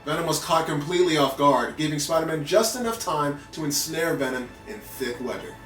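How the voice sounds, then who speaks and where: A man reading a children's story aloud in an animated narrating style with playful asides. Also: a little high, brisk. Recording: roomy echo with background music.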